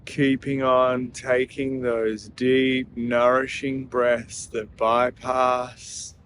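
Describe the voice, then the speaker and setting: A man leading a guided breathing meditation in a soothing, gentle manner, leaving long pauses between phrases. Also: medium pitch, little pitch movement, slight background noise.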